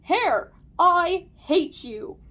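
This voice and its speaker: Woman as distressed man, high voice, panicky, exasperated, children's storybook. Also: animated, normal speed, darkish tone.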